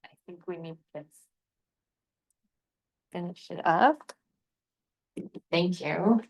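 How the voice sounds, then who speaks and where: A woman's voice: on the low side, slow, vocal fry, rough recording. A woman moderating a session, asking an unscripted academic question in formal, carefully searched-for technical phrasing.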